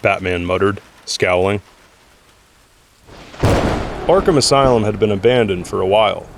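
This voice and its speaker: A man's voice: lowish voice, unhurried, creaky, noisy background. A man narrating an audio drama from a script, telling the story in the third person.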